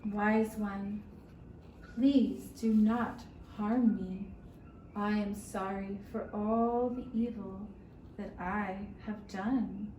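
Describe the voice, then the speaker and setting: Humble beaten wolf played by a woman storyteller, solemn and pleading, very slow delivery, puppet show in an echoing room. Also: medium pitch, steady tone, dark tone, highs cut off. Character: defeated male wolf, humbly begging and pledging loyalty. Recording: roomy, distant mic with faint noise.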